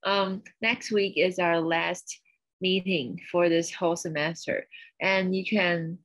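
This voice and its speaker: A woman's voice: lowish voice, steady tone, slow pace, a bit bright. A young woman in her twenties, giggly and loose, making casual announcements as the presider of a club meeting over a video call. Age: 20s.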